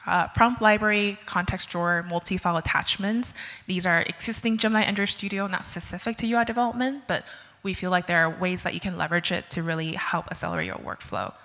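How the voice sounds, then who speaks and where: Bright-voiced woman presenting in a hall. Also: mid-range voice. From Taiwan. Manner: friendly, with frequent "uh" fillers. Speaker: product manager presenting at a tech conference. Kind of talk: conference talk. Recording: long hall reverb.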